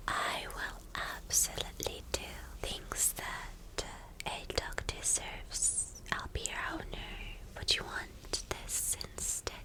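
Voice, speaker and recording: A woman's voice: natural voice, low-quality recording. A woman playing a spoiled, cruel, possessive villainess girlfriend in a whispered ASMR roleplay, coaxing and domineering with teasing endearments.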